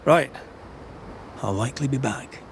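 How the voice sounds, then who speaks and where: A man playing a determined, gruff Scottish ghost hunter in a video game, blunt and sardonic. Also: mid-range voice, a little slow, a little hiss or hum. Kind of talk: dramatic video game dialogue.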